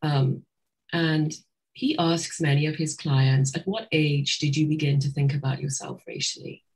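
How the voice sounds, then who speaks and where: A woman's voice: low voice, fairly even intonation, a little slow, darkish tone, husky, rough recording. A middle-aged woman from Trinidad, a psychotherapist, speaking thoughtfully as a guest in an interview, often restarting her sentences. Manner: thoughtful, with many restarts and fillers. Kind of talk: reflective interview talk.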